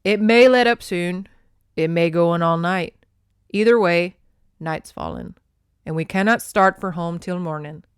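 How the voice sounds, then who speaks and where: A woman's voice: on the low side, unhurried, clean tone, no echo, clean studio sound, lightly acted. A woman putting on a stern Scottish clan chief. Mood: calm. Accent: put-on Scottish. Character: stern flirty male Scottish clan leader.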